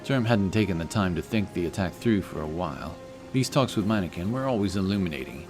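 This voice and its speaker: A man's voice: sits low, expressive, a little fast, music in the background. A man reading a scripted fantasy story as an audiobook narrator.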